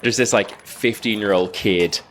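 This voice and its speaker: A man's voice: lowish voice, unhurried, a bit bright, slight background noise. A man casually improvising narration as part of a tabletop role-playing game. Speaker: role-play game player.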